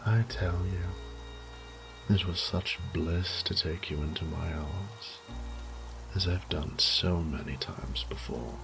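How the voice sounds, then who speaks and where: Male actor as sadistic vampire lord, gravelly, unhurried, theatrical, breathy whispered taunts, horror audio drama. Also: low voice, over music, heavily acted, rough recording. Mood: gloating, sinister. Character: suave, sadistic male vampire lord toying with his captive prey. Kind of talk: scripted horror audio roleplay monologue.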